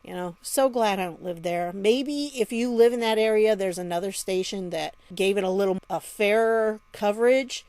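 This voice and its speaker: A woman's voice: medium pitch, normal speed, hardly any pauses, a little mellow, no room sound. A woman giving casual, conversational news commentary with a sardonic edge and frequent rhetorical questions. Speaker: vlog host and commentator. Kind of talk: casual news commentary, reading statements aloud.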